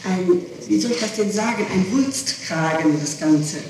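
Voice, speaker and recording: A woman answering questions in a police interrogation, haltingly and with repeated words as she explains. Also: lowish voice, a little mellow. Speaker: person being questioned by police. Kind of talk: interrogation testimony. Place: reverberant room, taped police interrogation. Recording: echoey, noisy old tape, distant mic.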